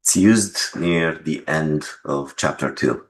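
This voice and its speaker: A man explaining his creative choices in an interview, measured in manner, on a noisy mic with clicks. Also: a bass voice. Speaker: game developer being interviewed.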